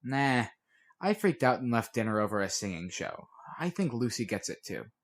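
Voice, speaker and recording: A man voicing an earnest, supportive teenage girl with a gentle, coaxing, casual way of talking. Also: mid-range voice, big pitch swings, normal speed. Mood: concerned, supportive.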